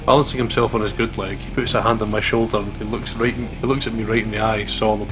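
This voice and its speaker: A man with a slightly low voice narrates a visual novel as a let's play host. His reading is dramatic, with clear music behind it. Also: little pitch movement, quick pace, poor audio.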